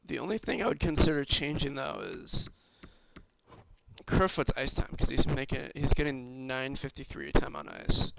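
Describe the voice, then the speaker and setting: Raspy man thinking aloud over a game. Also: medium pitch, very animated, a bit bright, a little hiss or hum, low-quality recording. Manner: thinking aloud, halting with fillers. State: slightly hoarse. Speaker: gaming streamer. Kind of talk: casual game commentary.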